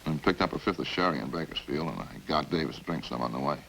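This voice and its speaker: An actor in a 1950s radio crime drama playing a chatty killer who confesses in a casual, folksy, matter-of-fact way. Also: male voice, very deep voice, fast, gravelly, a bit bright, exaggerated voice, poor audio. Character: chatty, hungry male killer calmly confessing over supper. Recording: hissy old-time radio recording.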